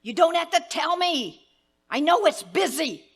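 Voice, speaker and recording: A woman's voice: very high voice, animated, measured pace, exaggerated voice. A woman playing an anxious, demanding, bedridden wife in a melodramatic 1930s-style live radio drama. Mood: agitated, impatient. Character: anxious, imperious bedridden invalid wife, fretful and self-pitying.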